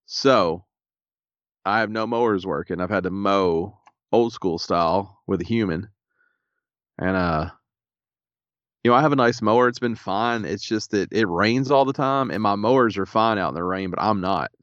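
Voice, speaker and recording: Very low-voiced stuffy man. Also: moderate pace, fairly bright tone, no echo, lo-fi sound. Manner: laid-back, dry humor. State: stuffy, a little raspy. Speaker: podcast co-host. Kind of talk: casual chat.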